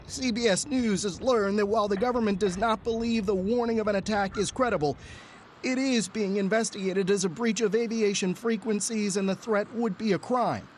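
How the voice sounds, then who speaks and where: A woman's voice: mid-range voice, normal speed, darkish tone, a little hiss or hum. A woman news correspondent narrating a scripted television report.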